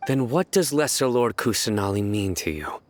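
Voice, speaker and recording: A man's voice: medium pitch, lively intonation, moderate pace, slight background noise, close to an everyday voice. A man playing a stern, solemn young guardian in a video game, speaking in a grave, questioning way. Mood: grave, challenging. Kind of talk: scripted game dialogue.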